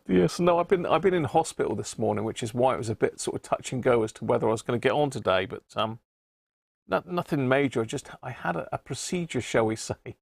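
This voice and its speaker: A man hosting a casual live stream about radio repairs, croaky today, chatting and greeting viewers by name. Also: medium pitch, a little mellow, no echo. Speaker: radio repair livestream host.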